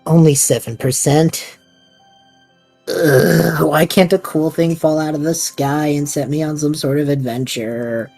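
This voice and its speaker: Dramatic rambling young traveler, woman actor, husky low voice, faint music behind. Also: fairly even intonation, measured pace, darkish tone. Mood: bored whining. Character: bored restless young male time traveler. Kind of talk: audio drama acting.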